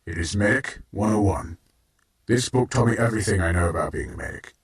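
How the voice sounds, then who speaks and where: A man's voice: on the low side, brisk, close to an everyday voice. A man playing a kindly, encouraging robot mentor medic in an audio drama. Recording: roomy, distant from the mic.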